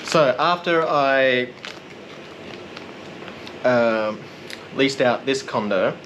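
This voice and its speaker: A man narrating a casual vlog in a relaxed, rambling, self-deprecating way. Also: mid-range voice, very slow, noisy background. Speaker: vlogger.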